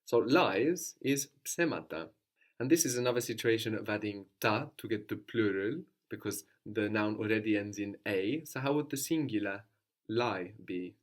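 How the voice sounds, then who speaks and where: Guy teaching a language class, lowish voice, patient, keeps asking questions and repeating the answers. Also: moderate pace. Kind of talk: guided language lesson.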